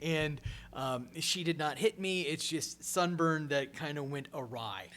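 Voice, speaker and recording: A man co-hosting a podcast in casual chat, soft-spoken by nature, who stammers and repeats his words often. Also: a little high, measured pace. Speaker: podcast co-host.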